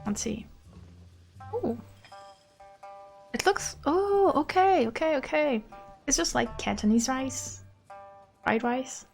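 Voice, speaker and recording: A woman's voice: high-pitched, lively intonation, takes it slowly, creaky, pauses often, darkish tone. A woman from Europe streaming a game, talking casually as she comments on what she plays. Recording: game music behind the voice. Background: game music.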